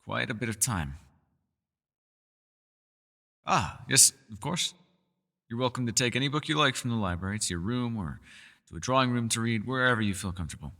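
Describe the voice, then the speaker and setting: A man's voice: medium pitch, lively intonation, quick pace, vocal fry, pushed and bright, big-room sound. A man voicing a centuries-old elf scholar in an ASMR roleplay, courteous and meticulous, with formal wording and small hesitant stammers. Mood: courteous, measured. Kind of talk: ASMR roleplay voice acting, one-sided dialogue.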